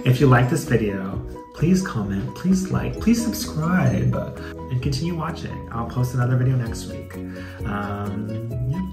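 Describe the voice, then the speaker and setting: A man's voice: mid-range voice, expressive, measured pace, music in the background. Sassy man in an echoey room. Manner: sassy, campy, slangy and swearing. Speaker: YouTube host. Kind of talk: casual comedic dramatic reading with commentary.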